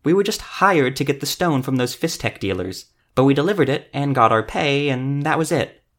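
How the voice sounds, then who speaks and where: A man's voice: medium pitch, lively intonation, moderate pace, fairly bright tone, natural voice. A man voicing a defensive, indignant halfling hired killer in a fantasy audiobook, blunt and coarse. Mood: resigned, defensive.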